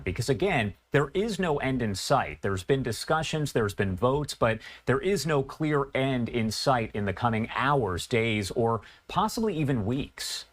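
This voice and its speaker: A man anchoring a live news broadcast, asking a guest questions in a measured, conversational way. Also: medium pitch.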